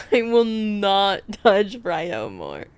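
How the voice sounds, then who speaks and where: A woman's voice: mid-range voice, wildly swooping pitch, extremely slow, clean tone, dry recording. A woman streamer chatting casually on a livestream, thinking aloud about game builds and laughing often.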